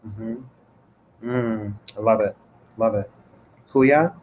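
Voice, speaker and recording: A man's voice: on the low side, takes it slowly, muffled recording, slight background noise. A man moderating a panel conversation over a video call, affirming and encouraging his guests. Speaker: moderator and show host. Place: home room.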